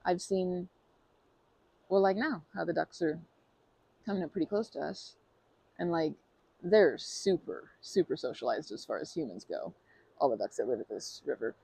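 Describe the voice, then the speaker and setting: A woman's voice: low voice, lively intonation, moderate pace, creaky, pauses often, warm tone, slightly rough, poor audio. A woman doing an ASMR roleplay as a friendly stranger who talks to the listener, rambling and hesitant, often restarting and correcting herself in an earnest, chatty way. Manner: friendly and earnest, with light laughs.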